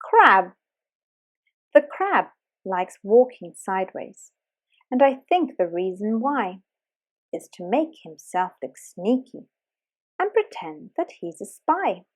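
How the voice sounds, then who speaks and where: A woman reading a rhyming children's picture book aloud in an animated, sing-song way. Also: fairly high pitch, slow pace, pauses often. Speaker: storybook reader.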